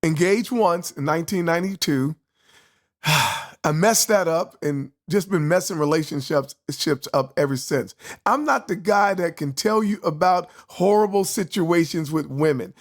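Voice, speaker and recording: A sixty-something man with a high, gravelly voice hosts a motivational vlog. He speaks in an animated, preachy way and keeps repeating his questions to the viewer. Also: measured pace. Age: 60s. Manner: animated, preachy, repetitive, laughing. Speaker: host.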